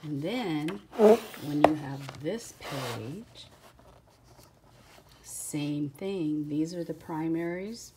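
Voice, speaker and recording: A woman's voice: deep voice, mellow, a little hiss or hum. A woman teacher reading a rhyming children's book aloud, with casual explaining asides spoken directly to young listeners.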